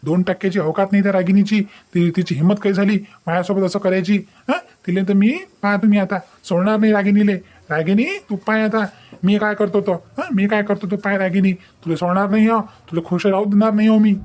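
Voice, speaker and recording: A man's voice: sits high, fairly even intonation, fairly quick, a little mellow, lightly acted. A man playing a furious jilted young groom in a cartoon family drama, threatening and repeating himself in a Varhadi Marathi accent. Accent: Varhadi Marathi, Vidarbha. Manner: threatening, repetitive, scolding.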